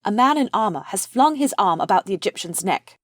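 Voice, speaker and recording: A woman's voice: medium pitch, animated, fairly quick, no echo, clean studio sound. A woman reading the stage directions of a play as scripted narration.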